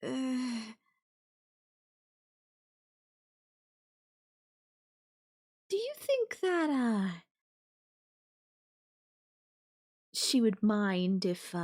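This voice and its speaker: A woman playing a clingy, possessive girlfriend who feigns sweet innocence in an audio roleplay, speaking coyly and hesitantly with hums and pauses. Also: sits high, wildly swooping pitch, darkish tone, heavily acted. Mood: probing, uneasy. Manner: hesitant, drawn-out, coy delivery with hums and pauses. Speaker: voice actor. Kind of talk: girlfriend audio roleplay, one side of a call.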